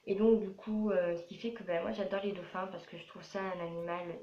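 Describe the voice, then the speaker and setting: A woman's voice: fairly low pitch, level tone, fairly quick. A twelve-year-old girl from France chatting casually in a rambling, repetitive way as she answers questions for a vlog. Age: 12 years old. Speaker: young vlogger. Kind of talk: casual FAQ vlog to camera. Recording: roomy echo, dull sound, faint noise.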